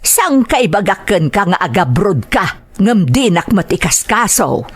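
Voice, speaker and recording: A woman's voice: medium pitch, very animated, measured pace, breathy edge. A woman voicing a nagging, scolding mother who insists her grown son go work abroad, in an audio drama. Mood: scolding, insistent.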